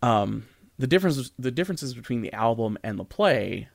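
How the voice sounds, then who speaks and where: A man's voice: medium pitch, very animated, moderate pace, vocal fry, dry recording, clean studio sound. A man co-hosting a podcast, talking casually and informally, hesitantly thinking aloud as he goes. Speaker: podcast co-host. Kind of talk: casual discussion of music and theatre.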